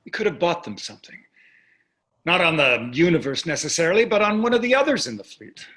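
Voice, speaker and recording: A well-off older husband in a stage drama, played by an actor. His voice sits a little high for a man and moves with lively intonation. He is glib and dismissive, breezy on the surface and curmudgeonly underneath. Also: fairly quick. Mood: puzzled. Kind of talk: staged play reading.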